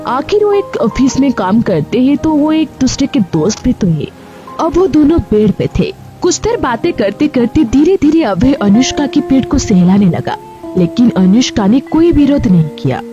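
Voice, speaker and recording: Woman narrating a story, really high voice, goes up and down a lot, music playing. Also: sing-song, moderate pace, muffled recording. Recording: music behind the voice.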